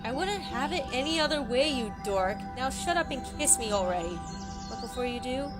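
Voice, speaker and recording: A woman's voice: sits high, animated, normal speed, loud music behind the voice. A woman voicing a bossy, teasing teen girl. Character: tough teasing teenage girl, blunt and bossy.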